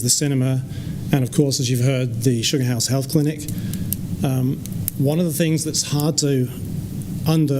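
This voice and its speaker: English-accented man, public comment, noisy room. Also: medium pitch. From England and the Netherlands. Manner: enthusiastic, measured, with ums. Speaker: community council committee chair giving public comment. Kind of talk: public comment at a government meeting.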